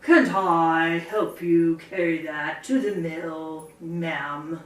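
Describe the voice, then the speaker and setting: A woman voicing a gentle, courteous horse who speaks low and slow with old-fashioned manners. The sound is roomy and heard from a little way off. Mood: kindly. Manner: polite, drawling offer of help. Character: kind, polite male horse neighbor. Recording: roomy, from a distance.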